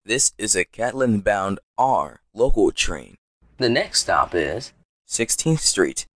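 A man's voice: fairly low pitch, sing-song, slow, fairly bright tone, husky, dry recording, clean studio sound. A man reading scripted subway announcements in a formal way, in segmented phrases.

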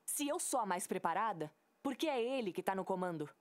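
Atriz como tenente desafiadora, entonação viva. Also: female voice, medium pitch, animated, a little fast, lightly acted. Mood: defiant, weary. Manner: firm, challenging. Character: defiant, proud female squadron lieutenant. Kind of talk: audio drama acting.

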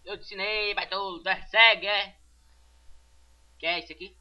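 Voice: mid-range voice, steady tone, moderate pace, muffled recording. An amateur dubber with a Brazilian accent doing a comic redub of a sitcom in brash, slangy, mocking street talk.

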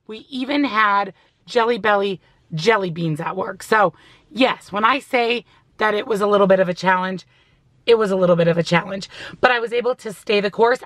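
A hoarse woman in her thirties chatting upbeat and emphatic to a phone camera from a parked car, vlogging about her week. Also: medium pitch, a bit bright, no echo. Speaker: weight-loss vlogger. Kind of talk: casual vlog chat to camera. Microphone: phone camera at arm's length.

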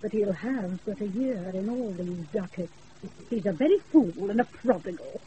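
A woman's voice: medium pitch, expressive, normal speed, mellow, lightly acted. Scolding, saucy chambermaid played by a woman. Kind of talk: comic Shakespearean radio acting. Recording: thin phone-like hissy off-air radio recording.

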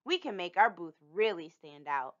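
A woman's voice: lowish voice, very animated, moderate pace, fairly bright tone, lo-fi sound. A woman voicing a keen but easily worried young schoolboy in a storybook, plain and childlike.

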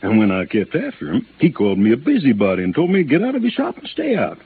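Folksy radio-play insurance agent, emphatic, drawls out words, narrow noisy old recording. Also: male voice, mid-range voice, wildly swooping pitch, fast. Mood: riled up. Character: folksy, excitable Southern insurance agent, chatty man. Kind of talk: 1950s radio drama acting.

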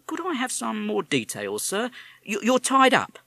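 A man's voice: sits high, huge pitch swings, unhurried, creaky, bright, forceful tone, no echo, voice pushed up, heavily acted. A man voicing a British police officer who is formal, pompous and sarcastic. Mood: prim.

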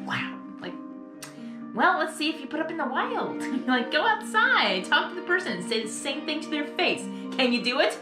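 A young adult woman giving casual, rambling reaction commentary in an earnest, halting, apologetic way. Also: sits high, big pitch swings. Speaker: reaction video host. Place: small bedroom, indoors. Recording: echoey room, music behind.

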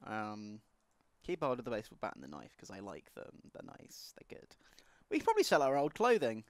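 A woman hosting a let's play, chatting casually about the game with wry, deadpan jokes. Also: rumbling low, fairly quick, no echo. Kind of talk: casual gameplay commentary.

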